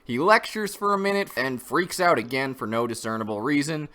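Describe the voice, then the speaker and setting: A man's voice: medium pitch, brisk, pushed and bright. A man giving an opinionated, conversational review commentary, critical and semi-scripted. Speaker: host of a comic review channel.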